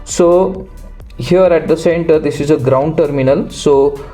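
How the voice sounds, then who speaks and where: Man giving a mellow hands-on tutorial. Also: fairly high pitch, unhurried, soft background music. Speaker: electronics tutorial host. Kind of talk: unscripted step-by-step hands-on tutorial.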